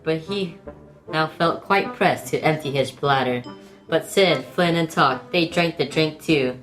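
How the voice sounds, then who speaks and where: Low-voiced storybook narrator played by a woman. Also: fairly bright tone, soft background music. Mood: storytelling. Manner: measured, old-fashioned rhyming storytelling. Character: storybook narrator telling a tale in rhyme.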